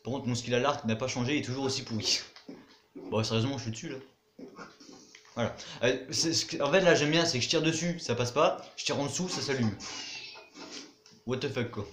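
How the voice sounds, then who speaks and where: A man's voice: medium pitch, a little fast, long pauses, fairly bright tone, echoey room, slight background noise. A young man giving casual commentary over his own gameplay, chatty, muttering to himself and swearing now and then. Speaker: gaming YouTuber.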